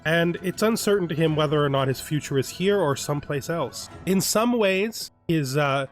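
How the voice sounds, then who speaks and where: Man, fairly high voice, rambling game commentary, thinks aloud, soft music behind. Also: animated, normal speed. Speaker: gaming YouTuber. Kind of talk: relaxed let's play commentary.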